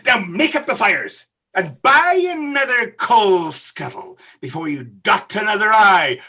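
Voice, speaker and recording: An actor playing a reformed old miser in a radio play, giddy and mock-stern, with a husky voice and frequent chuckles. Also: mid-range voice, sing-song, unhurried, pushed and bright, full character voice. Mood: joyful, generous. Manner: theatrical, punctuated by chuckles and mock growls.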